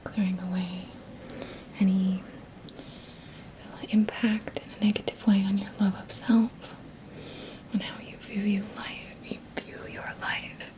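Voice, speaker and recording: Female ASMR Reiki host. Soft unvoiced whisper, very unhurried, soothing, murmured repetitions. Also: mid-range voice, level tone, rough recording. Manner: slow, soothing, repeats words and phrases in a trailing murmur. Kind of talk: whispered ASMR guided energy-healing session.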